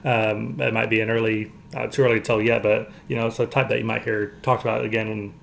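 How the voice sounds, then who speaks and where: A man co-hosting a show, casually discussing movies in a halting, hedging way as he explains things. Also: on the low side, flat delivery, gravelly, fairly bright tone, a little hiss or hum. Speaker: podcast co-host.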